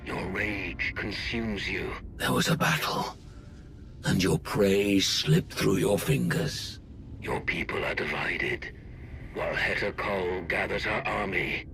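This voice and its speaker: An actor playing a menacing, grandiose Sith lord with slow, brooding oratory in a video game. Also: male voice, deep voice, music in the background. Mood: menacing, probing. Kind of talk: dramatic video game voice acting.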